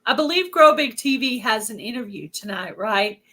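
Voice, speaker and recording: A woman's voice: fairly high pitch, expressive, normal speed, bright, forceful tone. A woman hosting a casual livestream chat, talking in a chatty way with lots of filler words. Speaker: host.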